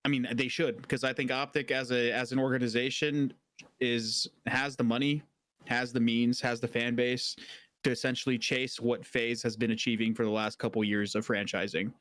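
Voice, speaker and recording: A young man gives blunt, casual opinions on an esports panel and swears without thinking about it. His voice is mid-pitched and recorded dry, close to the mic. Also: level tone, moderate pace, fairly bright tone, dry recording.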